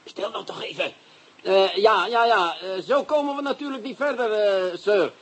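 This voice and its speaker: A bossy, sly police commissioner. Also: male voice, sits high, a bit bright, breathy edge. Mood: brisk. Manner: brisk, commanding, sly. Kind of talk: radio drama acting.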